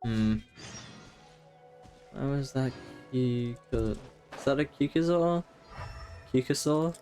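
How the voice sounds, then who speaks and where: A man's voice: mid-range voice, slow pace, dark tone, soft background music. A man giving casual live gameplay commentary as a streamer, rambling and thinking aloud. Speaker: game streamer.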